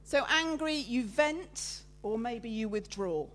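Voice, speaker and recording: A woman giving a lively, loud, chatty sermon with slides, talking with her congregation. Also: a little high, slow. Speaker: preacher giving a talk at church. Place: church meeting room with listeners present.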